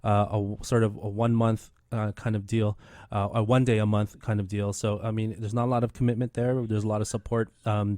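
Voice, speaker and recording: A man's voice: on the low side, a little mellow, dry recording. A man in his thirties hosting a community radio show in a studio, talking casually with many fillers and restarts. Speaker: radio host.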